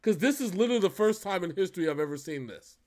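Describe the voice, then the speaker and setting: A man hosting a music reaction show, giving casual, excited, jokey commentary that rambles and repeats phrases. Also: high-pitched, very fast.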